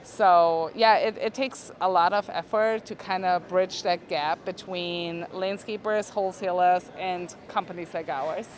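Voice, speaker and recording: A young woman from Austria, chatting casually about plants in an interview at a trade show, relaxed and soft-spoken. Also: fairly low pitch, measured pace, hardly any pauses. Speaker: plant product manager.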